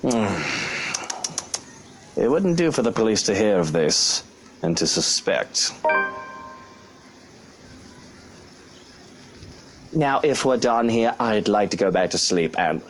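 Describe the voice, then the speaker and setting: Man acting a grumpy uncle, wildly swinging pitch. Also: sing-song, a little slow, a little hiss or hum. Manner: sardonic, theatrical. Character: grumpy sardonic uncle, sleepy and put-upon. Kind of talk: visual novel character voice acting.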